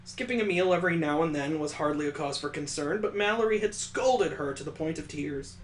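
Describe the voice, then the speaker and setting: A man voicing a detached, world-weary young heroine narrating a visual novel in a measured, dramatic reading. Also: on the high side, a little hiss or hum, lightly acted. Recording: echoey, roomy sound.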